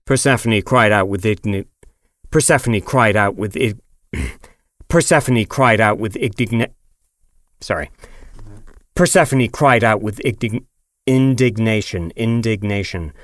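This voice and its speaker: A man's voice: fairly low pitch, unhurried, fairly bright tone, dry recording, clean studio sound. A man reading scripted audiobook narration, going back over lines in retakes.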